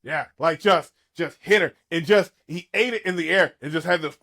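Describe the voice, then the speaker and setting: A man's voice: fairly high pitch, moderate pace, pushed and bright. Enthusiastic man in his thirties. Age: 30s. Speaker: podcast co-host. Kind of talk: casual fan chat.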